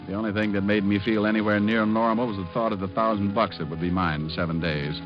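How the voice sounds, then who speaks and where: A man's voice: on the low side, steady tone, fast, over music, natural voice. An actor in an old radio noir drama playing a wry, cocky hard-boiled private detective who wisecracks as he talks and narrates. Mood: wry narration. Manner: wisecracking, sardonic. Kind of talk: 1940s-50s radio noir acting and narration. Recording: muffled old radio recording.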